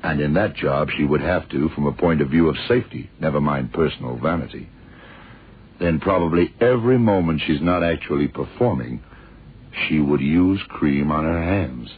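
Stubborn detective boss, super low voice, lecturing, old tinny radio show. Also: male voice, slightly rough, heavily acted. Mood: intent. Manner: insistent, deliberate, lecturing. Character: dogged, hunch-driven senior male investigator, dryly authoritative. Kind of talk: 1970s radio drama acting. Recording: tinny vintage radio recording with music.

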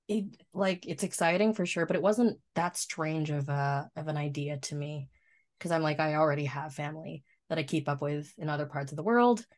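A young woman from Canada, a podcast guest chatting casually with frequent ums and light laughs. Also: low-pitched. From Canada, Ottawa.

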